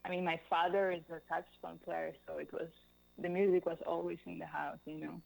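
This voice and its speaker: A woman's voice: lowish voice, little pitch movement, a little fast, creaky, darkish tone, rough recording. A woman from Russia, a musician guest answering interview questions in an easygoing way full of "like" and "you know". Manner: easygoing, lots of fillers like "like" and "you know", laughs easily.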